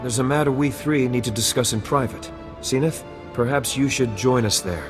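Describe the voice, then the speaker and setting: A man's voice: mid-range voice, moderate pace, lightly acted. A man as an earnest, dignified young-government statesman. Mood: sincere. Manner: formal, oratorical. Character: earnest, dignified male politician and idealistic new president. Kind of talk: video game dialogue acting. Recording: long hall reverb, music behind.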